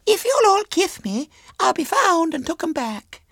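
A man's voice: extremely high pitch, sing-song, a little slow, fairly bright tone, dry recording, clean studio sound, voice pushed up, heavily acted. A man voicing a small, sweetly wilful girl with a piping, cajoling way of talking in a storybook. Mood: sweet, pleading.

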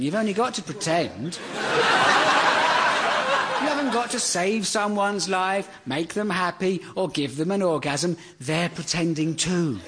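Comedian ranting deadpan, voice bouncing up and down, a bit higher than most blokes. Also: male voice, heavy background noise. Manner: deadpan, sardonic, building to exasperated rants. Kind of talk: stand-up comedy monologue.

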